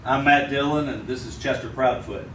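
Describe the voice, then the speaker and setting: An actor playing a watchful, plainspoken US marshal with an American accent in an old-time radio western. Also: male voice, mid-range voice, moderate pace, a little hiss or hum, close to an everyday voice. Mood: matter-of-fact. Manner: steady, plainspoken, dry. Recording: echoey, distant old radio recording.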